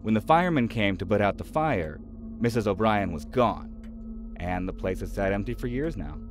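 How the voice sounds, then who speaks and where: A man's voice: fairly low pitch, animated, normal speed, close to an everyday voice. A man acting as a friendly, chatty, slightly evasive small-town realtor in an audio drama. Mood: hesitant, gossipy. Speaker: real estate agent showing a house. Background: faint music.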